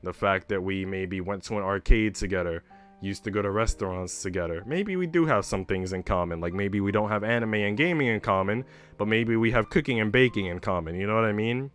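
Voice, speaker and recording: A man's voice: fairly low pitch, a little fast, fairly bright tone. A man hosting a livestream, talking casually and candidly to his viewers in a chatty way with some casual swearing. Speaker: livestream host. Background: background music.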